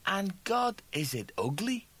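A man's voice: high voice, very animated, slow, a bit bright, husky, pitched above the performer's own voice, exaggerated voice. A man voicing a naive young male space traveler in an audiobook, with a bewildered, incredulous way of talking. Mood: awed, puzzled. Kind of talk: audiobook character dialogue.

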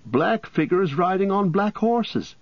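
A man's voice: sits high, expressive, takes it slowly, no room sound, muffled recording, pitched above the performer's own voice, heavily acted. Pippin, a man doing a chatty young hobbit. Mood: telling. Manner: cheerful, talkative.

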